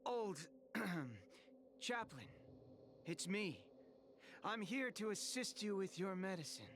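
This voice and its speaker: A man's voice: high-pitched, animated, slow pace, a bit bright, a little hiss or hum, heavily acted. A man voicing a dutiful, earnest young apprentice priest in a video game, speaking deferentially. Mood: hesitant.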